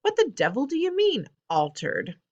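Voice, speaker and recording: A woman reading aloud, voicing an indignant middle-aged father who blusters and shouts. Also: sits low, big pitch swings, normal speed, muffled recording, heavily acted. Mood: shouting.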